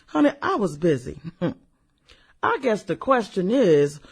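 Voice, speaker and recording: Sassy, slow-drawling middle-aged woman, played by an actress. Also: mid-range voice, sing-song, creaky, husky, heavily acted. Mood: sassy, flirtatious reminiscing.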